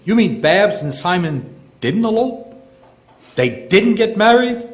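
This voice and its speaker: A man's voice: sits high, sing-song, slow, full character voice. An actor playing a blustering, easily flustered, overprotective father in the style of a 1940s radio sitcom. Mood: distraught bluster. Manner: exclaiming, stammering when cornered. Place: live staged radio re-creation.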